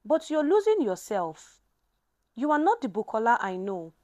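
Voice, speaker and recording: Woman voicing a worried, pleading man, high-pitched. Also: sing-song, normal speed, mellow. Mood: frustrated, afraid. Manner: earnest, pleading. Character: worried, frustrated man pleading with his close companion.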